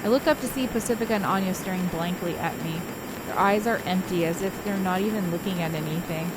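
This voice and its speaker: A woman's voice: lowish voice, quick pace, very noisy, lightly acted. A woman reading the part of a brooding, traumatized ghost girl, narrating a visual novel in an introspective, melancholy way. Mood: numb, bleak. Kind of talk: dramatic visual novel read-aloud.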